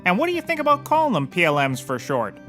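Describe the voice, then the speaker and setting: Male actor as brash bumbling gumshoe: high, bright, swooping delivery, comic hard-boiled patter. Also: huge pitch swings, fast, soft background music, exaggerated voice. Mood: excited. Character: brash, excitable bumbling private eye. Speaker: actor in scripted comedy series. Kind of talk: comedic mock-noir detective slang acting.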